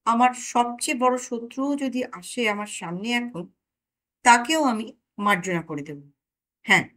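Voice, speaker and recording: A woman storyteller reading a scripted first-person story in an expressive, deliberate, dramatic manner with pauses. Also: a little high. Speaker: audio story narrator.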